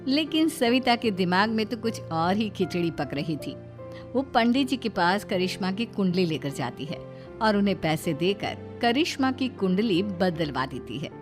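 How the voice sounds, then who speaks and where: A woman's voice: medium pitch, sing-song, moderate pace, over music. A woman reading scripted narration for a cartoon family drama story.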